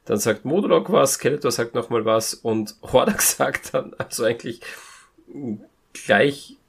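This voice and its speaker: Creaky, husky man chatting. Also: medium pitch, unhurried. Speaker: podcast panelist. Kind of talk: casual, humorous review chat.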